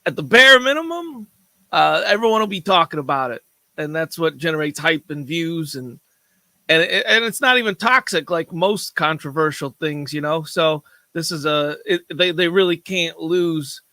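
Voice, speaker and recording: A man who has just woken up and sounds hoarse, chatting casually on a gaming podcast and laughing as he talks. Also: fairly high pitch, moderate pace, sharp tone, dry recording. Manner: laughs often while talking. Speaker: podcast panelist. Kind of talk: casual chat.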